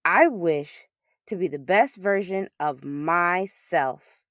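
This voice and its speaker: A woman's voice: fairly low pitch, animated, slow, fairly bright tone, no room sound, studio quality. A woman voicing a shy, earnest third-grade boy with big dreams in a storybook. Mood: hopeful.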